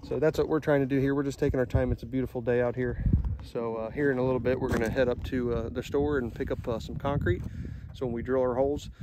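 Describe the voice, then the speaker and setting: Man, thirties, relaxed plain talk, lots of uh, outdoors on a job site, phone audio, noisy background. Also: mid-range voice, fast. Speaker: vlogger building a pole barn. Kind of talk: casual how-to narration. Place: outdoors on a gravel building site. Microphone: handheld phone camera.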